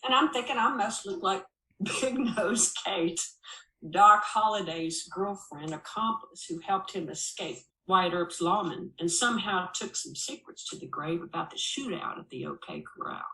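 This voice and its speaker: A woman reading a first-person memoir aloud as an audiobook, with expressive storytelling delivery. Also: mid-range voice, measured pace. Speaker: audiobook reader. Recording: echoey room.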